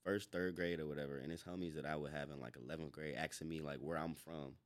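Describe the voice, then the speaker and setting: A man from North Carolina, a guest on a podcast, talking in a relaxed, slangy way with lots of "like". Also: a bass voice, quick pace. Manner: laid-back slangy, says "like" often. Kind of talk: casual chat.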